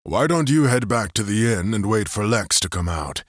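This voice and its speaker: A male narrator voicing a huge, stoic, weary armored leader who speaks in a grave, measured way. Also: lowish voice, animated, dry recording, studio quality.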